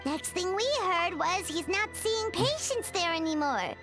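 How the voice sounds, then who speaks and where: A woman voicing a tiny, excitable girl companion in a video game, chirpy and quick to blurt out reactions. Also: extremely high pitch, over loud music.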